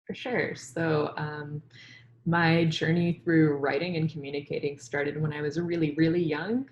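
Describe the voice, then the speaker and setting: A woman's voice: sits low, level tone, measured pace, highs cut off, a little hiss or hum. A young woman, a native Urdu speaker, answering interview questions on a video call with an upbeat, storytelling manner and frequent ums. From Pakistan. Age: young adult. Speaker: guest scientist. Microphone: headset on video call.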